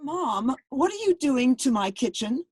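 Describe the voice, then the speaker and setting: A woman playing an exasperated, fussy middle-aged wife and mother in a radio play, sharp-tongued and insistent. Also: sits high, very animated, a little slow, slightly rough, no echo, clean studio sound, faint music behind, full character voice. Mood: irritated.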